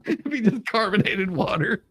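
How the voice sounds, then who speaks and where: A woman's voice: medium pitch, animated, normal speed, a bit bright, slightly rough, dry recording. A woman hosting a casual comedic livestream chat, often laughing through her words. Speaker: livestream host.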